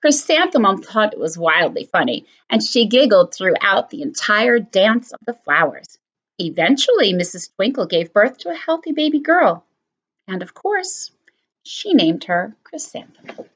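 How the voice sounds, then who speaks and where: Elementary teacher narrating a picture book, lively and expressive. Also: female voice, mid-range voice, normal speed, lo-fi sound. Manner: expressive, animated storytelling. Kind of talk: reading a picture book aloud.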